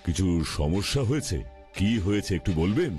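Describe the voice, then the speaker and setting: A man's voice: sits low, very animated, brisk, a little mellow, soft background music. A man playing an earnest, protective superhero in a children's cartoon, with dramatic delivery. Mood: concerned. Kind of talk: cartoon dubbing in Bengali.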